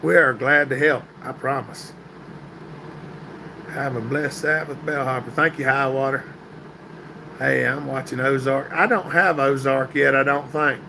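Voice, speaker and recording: Male streamer, folksy, greets chat by name, voice going hoarse, gravelly edge, light background hiss. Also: mid-range voice, steady tone, measured pace, pauses often. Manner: folksy, answering viewer comments by name. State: getting hoarse and stuffy. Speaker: livestream host reading chat. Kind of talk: casual live-stream chat. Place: indoor workshop room, sitting at a metal table.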